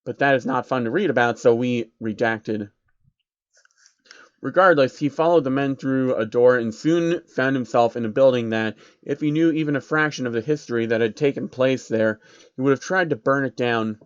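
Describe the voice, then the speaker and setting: A man narrating a comedic fan story in a deadpan way, with wry asides. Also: medium pitch, normal speed, clean tone, no room sound, muffled recording.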